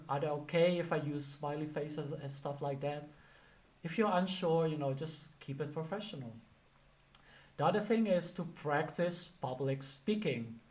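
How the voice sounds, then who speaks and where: A man with a Dutch accent giving casual career advice, talking loosely with lots of "you know" and "like". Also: on the high side. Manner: chatty, many fillers like "you know" and "like". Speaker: career coach. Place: lives and works in the UK.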